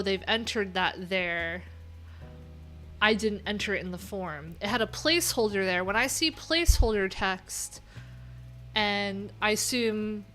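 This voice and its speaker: Woman streamer chatting thru tech stuff, slow pace, bright voice, informal n trails off, faint music. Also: mid-range voice. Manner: informal, halting, trailing off mid-thought. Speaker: livestream host, web developer. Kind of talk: casual unscripted tech livestream chat, thinking aloud.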